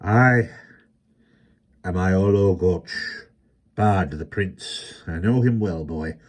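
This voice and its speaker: Guy doing a frail old welsh bard, soft lisp, singsongy lilt, gets fired up. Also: low voice, extremely slow, heavily acted. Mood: wary. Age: older. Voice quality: soft, lisping, lilting. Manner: grows fervent and sing-song.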